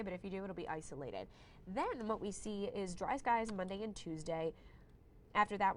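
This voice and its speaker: A woman's voice: lowish voice, slight background noise. Woman meteorologist with a cold. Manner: friendly, conversational, clears throat at times. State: has a cold, slightly hoarse. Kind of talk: live weather forecast on social media.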